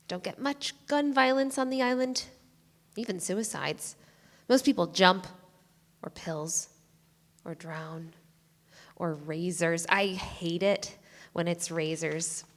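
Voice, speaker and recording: A woman's voice: on the low side, a bit bright. Lady playing a chatty squeamish island sheriff in a stage play, folksy n polite, echoey hall. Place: live theatre stage. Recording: reverberant live theatre recording.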